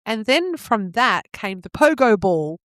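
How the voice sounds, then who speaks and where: A woman's voice: fairly high pitch, very animated, a little slow, a bit bright, no echo. Australian woman podcaster, close dry sound. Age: 40s. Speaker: podcast co-host. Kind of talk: casual nostalgic chat.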